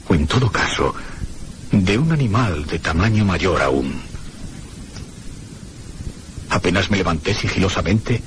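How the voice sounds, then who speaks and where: An actor playing a frightened man who recounts a night of eerie terror in a suspenseful, theatrical radio drama narration with deliberate pauses. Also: sits low, a bit bright, a little hiss or hum.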